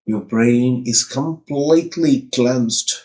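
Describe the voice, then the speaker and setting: A man's voice: medium pitch, darkish tone, breathy edge. Indian man leading guided meditation. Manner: measured short phrases, repetitive, incantatory.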